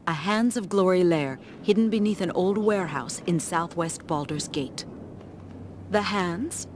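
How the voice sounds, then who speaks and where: An actress playing an earnest, righteous townswoman who gives quests in a fantasy video game, speaking formally and gravely. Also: fairly low pitch, unhurried, noisy background, natural voice. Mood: disdainful, informative. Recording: loud music under game dialogue.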